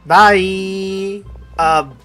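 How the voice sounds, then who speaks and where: A woman's voice: medium pitch, fairly even intonation, extremely slow, fairly bright tone, smooth. A woman game streamer giving short, informal live reactions, with game music behind her.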